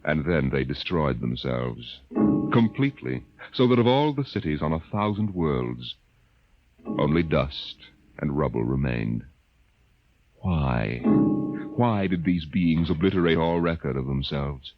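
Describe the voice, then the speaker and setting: An actor playing a solemn, portentous science fiction narrator, slow and measured, in 1950s radio style. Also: male voice, very deep voice, lively intonation, loud music behind the voice, full character voice. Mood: solemn, ominous. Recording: dull old radio recording.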